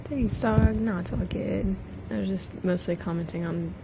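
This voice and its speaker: Loud background noise sits around a young woman's soft ASMR voice, close to the mic. Her voice is medium-pitched with plenty of vocal fry, often slipping into a breathy whisper. She rambles casually and slangily, like someone chatting on a livestream. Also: fairly even intonation, moderate pace. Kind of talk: soft-spoken ASMR chit-chat.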